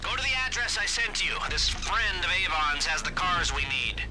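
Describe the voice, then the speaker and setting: An actor playing a nervy, wisecracking heist planner in a video game, rambling through briefings with hesitant, wry asides. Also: male voice, high-pitched, expressive, moderate pace, rough recording. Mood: wry, instructive. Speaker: heist planner giving mission instructions. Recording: loud music behind the voice. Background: loud music.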